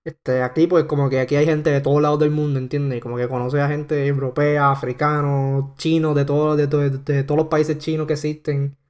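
A man's voice: a little high, flat delivery, a little fast, few pauses, darkish tone. Rambling young Puerto Rican man. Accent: Puerto Rican Spanish. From Puerto Rico. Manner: rambling, heavy on fillers. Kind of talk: casual chat.

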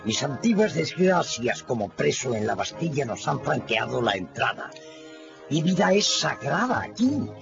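An actor playing an assured, reassuring older doctor and former prisoner in a radio drama. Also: male voice, medium pitch, sing-song, unhurried, creaky. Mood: calm assurance. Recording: dull radio recording under music.

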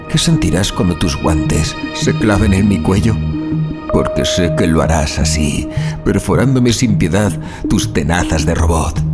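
A man playing a doomed, morbidly obsessed passenger in a horror audio drama, delivering a slow, brooding literary monologue. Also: low voice. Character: doomed male passenger, obsessed with his murderous chauffeur.

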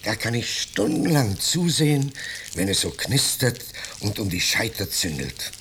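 An actor playing an ingratiating vagrant in a 1950s German radio play, speaking with unctuous false modesty and quiet menace. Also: male voice, on the low side, sing-song, unhurried, exaggerated voice. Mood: dreamy, relishing. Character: ingratiating vagrant stranger, slyly menacing, mock-humble. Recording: noisy 1950s radio drama recording.

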